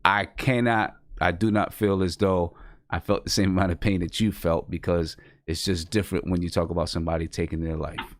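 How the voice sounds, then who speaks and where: A man hosting an interview, talking in a halting way full of fillers. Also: sits low, steady tone, no echo, clean studio sound. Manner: halting, many "you know" fillers. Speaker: radio show host. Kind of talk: casual interview chat.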